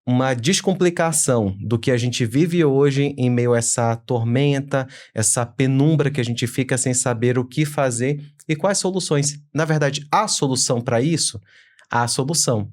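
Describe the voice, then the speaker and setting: A man's voice: medium pitch, normal speed, darkish tone. A young adult man from Manaus, a lawyer, explaining carefully as a guest on a podcast interview. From Manaus, Amazonas, Brazil.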